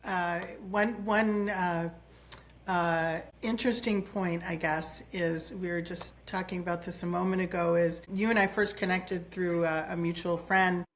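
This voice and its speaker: A woman's voice: on the low side, steady tone, a little slow. Hesitant woman podcast host. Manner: hesitant, restarting phrases, encouraging. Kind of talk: interview hosting.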